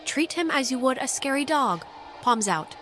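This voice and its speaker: A woman's voice: high-pitched, animated, normal speed, a bit bright, soft background music. A woman with an American accent playing a wry, protective teenage girl, speaking casually in a naturalistic video game performance. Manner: dry, sardonic, conversational.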